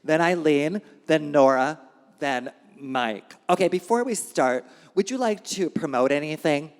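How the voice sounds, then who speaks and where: A man's voice: a little high, animated, unhurried, clean tone. A man hosting a live reading, speaking to the room informally and reading aloud from satirical writing. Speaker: host and reader at a live reading event. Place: indoor gallery event space. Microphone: handheld event microphone. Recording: reverberant hall live recording.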